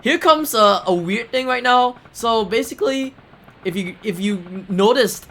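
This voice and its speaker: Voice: medium pitch, animated, measured pace, fairly bright tone, slight background noise. A speaker giving casual, off-the-cuff commentary on a video game speedrun. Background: faint video game music.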